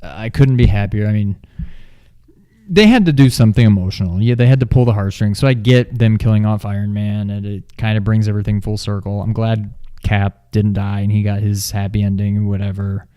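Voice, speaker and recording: A man's voice: fairly low pitch, steady tone, fairly quick, warm tone, smooth. A man co-hosting a movie review in loose, casual chat, often hesitating as he thinks aloud.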